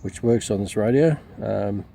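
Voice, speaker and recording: Hesitant, mellow-voiced Australian man. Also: on the low side. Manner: hesitant, rambling delivery with frequent pauses. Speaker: radio reviewer. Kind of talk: unscripted product demonstration. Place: outdoors in a backyard. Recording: clearly noisy outdoor camera recording.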